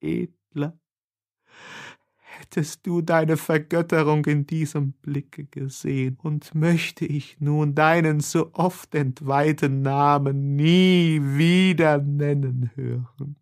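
A man narrating a literary audiobook in an expressive, measured, dramatic way. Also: a little high, vocal fry.

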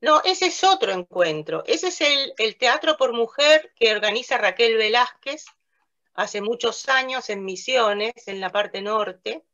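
A woman's voice: fairly high pitch, expressive, measured pace, fairly bright tone, muffled recording. An older woman from Italy, an interview guest who works as a theatre narrator and director, telling her story in a casual and hesitant way, full of fillers and restarts. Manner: hesitant, many fillers and restarts, laughs often. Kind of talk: casual interview, recounting memories. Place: small room with a plain light wall. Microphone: video call, webcam facing her.

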